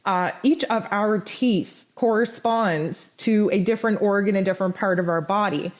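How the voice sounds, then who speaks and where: Hoarse, blunt woman host. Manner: blunt, animated, pitch jumps high for emphasis. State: hoarse, nearly lost voice. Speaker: spiritual forecast host. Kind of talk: casual spoken forecast.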